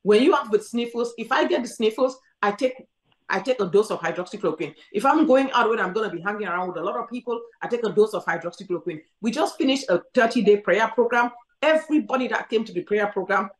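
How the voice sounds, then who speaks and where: A woman's voice: mid-range voice, reverberant, rough recording. A woman from Cameroon, a doctor answering callers emphatically and repeating her urgings. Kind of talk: call-in advice.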